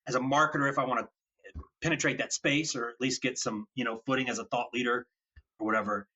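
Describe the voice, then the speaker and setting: A man hosts a podcast interview in a husky voice with a bright, forward edge. He sounds enthusiastic and thinks aloud, repeating words as he goes. The recording is dull and lo-fi. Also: mid-range voice, fairly even intonation, fast. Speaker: podcast host. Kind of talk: conversational interview.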